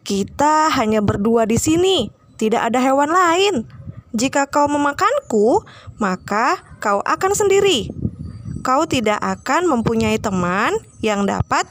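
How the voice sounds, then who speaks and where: A woman voicing a clever, lively storybook mouse, emphatic and admonishing as it reasons with a snake. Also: high voice, measured pace, slight background noise, voice pushed up. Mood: pleading, persuasive.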